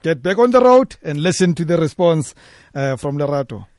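A man hosting a radio call-in show, brisk and directive with callers. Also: on the high side, very animated, a little mellow, no room sound, clean studio sound. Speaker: radio talk show host. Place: radio studio.

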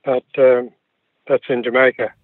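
A man's voice: medium pitch, level tone, a little slow, no echo. An older Australian man, a guest, recalling his life in a hesitant way, with many ums and restarts, heard over a phone. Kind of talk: relaxed recollection of his life. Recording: dry, tinny phone line.

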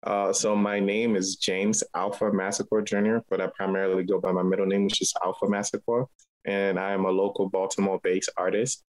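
A man's voice: low voice, little pitch movement, fairly quick, low-quality recording. A man from Liberia, a local artist, answering interview questions in a conversational way. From Liberia, West Africa.

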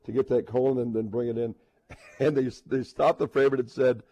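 A man hosting a sports radio show in casual talk, halting and repeating words as he builds toward a point. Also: medium pitch, steady tone, warm tone, no echo, highs cut off.

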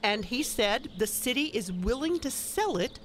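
A woman playing an opinionated, folksy older wife who briskly meddles, in a radio play. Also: on the high side, very animated, slight background noise. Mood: enthusiastic.

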